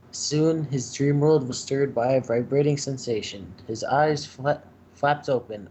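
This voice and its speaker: A man's voice: mid-range voice, a little mellow. A man reading a story aloud as its narrator. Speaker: reader in a group fanfiction reading. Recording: faintly noisy home recording.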